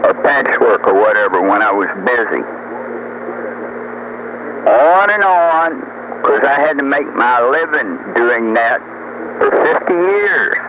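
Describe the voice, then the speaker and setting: A man's voice: medium pitch, big pitch swings, takes it slowly, pauses often. An older man in a rambling, folksy, boastful chat over CB radio, often throwing out rhetorical questions. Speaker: storyteller on CB radio. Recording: noisy muffled CB radio tape.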